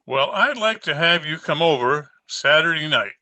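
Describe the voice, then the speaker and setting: A man's voice: a little high, expressive, moderate pace, pushed and bright. A man playing a genial but wry small-town mayor in an old-time radio comedy.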